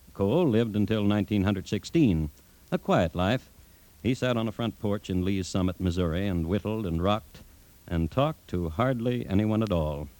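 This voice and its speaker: An actor playing a droll, solemn crime-history storyteller, narrating a 1950s radio drama in deliberate, dry, clipped phrases. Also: male voice, fairly low pitch, a little mellow, no room sound, lightly acted, poor audio. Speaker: host-narrator.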